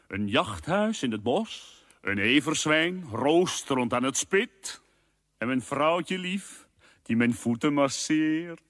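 A man playing a conceited young suitor in an animated film dub, boastful, pompous and self-assured. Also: medium pitch, no room sound, studio quality.

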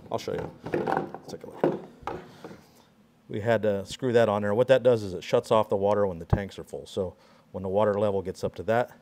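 A man with a fairly low voice walks through a build in his workshop, talking casually as he films on a handheld phone. He explains each part plainly and hands-on, like a builder showing a customer around. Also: steady tone, fairly quick, pauses often, a little mellow, slight background noise. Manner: explaining hands-on while filming himself. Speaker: pressure washing skid builder. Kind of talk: casual walkaround tour.

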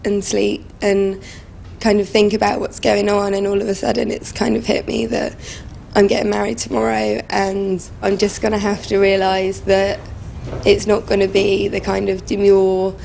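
Young British woman with a creaky voice. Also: medium pitch, monotone, moderate pace, a little mellow, a little hiss or hum, low-quality recording. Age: 20s. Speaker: bride on a wedding reality show. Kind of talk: candid reality-show talk. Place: indoors, bridal shop.

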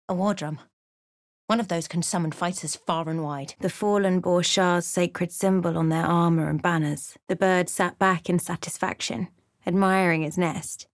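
Actress doing a thoughtful woman adventurer for a fantasy game, measured dramatic storytelling, super dry recording. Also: on the low side, steady tone, no room sound, natural voice. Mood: alert, observant, then measured narration. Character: thoughtful adventurer woman recounting her journey. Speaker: voice actor for a player character. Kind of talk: scripted game narration and character lines.

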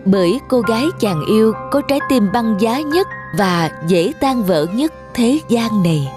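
A woman narrating a cartoon fairy tale in a slow, measured way. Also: fairly high pitch, expressive. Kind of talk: scripted storybook narration. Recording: music playing behind.

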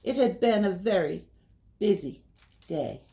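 A middle-aged woman hosting a children's story time, reading aloud in a deliberate, sing-song way. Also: medium pitch, lively intonation, dark tone. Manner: slow, deliberate, sing-song repetition for young listeners. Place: small indoor room by a window.

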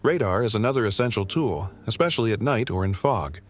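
Calm, low-voiced male narrator. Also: fairly quick. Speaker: solo sailor narrator. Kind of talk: scripted voice-over narration.

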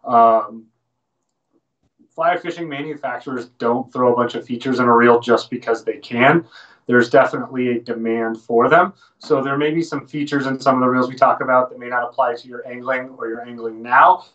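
A man's voice: medium pitch, steady tone, moderate pace, fairly bright tone. American man, distant dull webcam sound. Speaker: fly fishing shop presenter. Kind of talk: casual informative livestream talk. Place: small shop room indoors.

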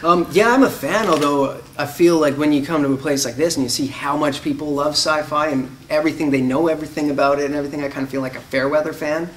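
A man's voice: a little high, steady tone, quick pace, few pauses, distant mic, a little hiss or hum, poor audio. A man in his thirties, an actor, chatting casually in interview with a self-deprecating, joking manner. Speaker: actor guest. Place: convention press room.

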